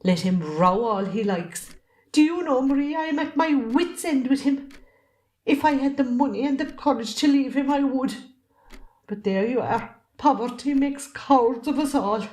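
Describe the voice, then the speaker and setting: A woman's voice: high voice, normal speed, darkish tone, natural voice. A woman with an Irish accent playing a long-suffering, fiery middle-aged wife and mother in an amateur drama. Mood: fretful, weary. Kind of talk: amateur stage drama acting.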